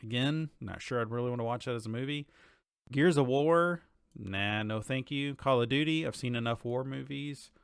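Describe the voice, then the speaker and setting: Male podcast co-host, mid-pitched, informal and blunt, very dry studio-like sound. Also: moderate pace, gravelly, smooth, dry recording. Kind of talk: casual chat about games and shows.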